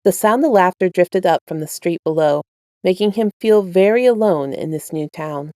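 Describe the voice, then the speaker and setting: A woman with a slightly low voice works as a story narrator, reading fan fiction she wrote. The sound is close and dry, with no room around it. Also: moderate pace, mellow, dry recording. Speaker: podfic writer and narrator. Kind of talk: casual intro and scripted story narration.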